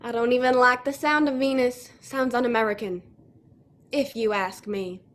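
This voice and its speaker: A woman's voice: fairly high pitch, fairly even intonation, moderate pace, close to an everyday voice. A young woman acting a stubborn, folksy male farmer with a rustic drawl in a comic radio play. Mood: blustery. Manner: blustery, folksy. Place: home room, on a video call.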